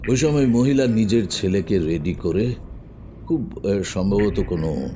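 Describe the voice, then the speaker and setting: Deep-voiced gruff detective uncle. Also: male voice, animated, unhurried, dark tone, a little hiss or hum. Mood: pondering, explaining. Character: gruff, commanding middle-aged male detective uncle. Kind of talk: audio drama dialogue.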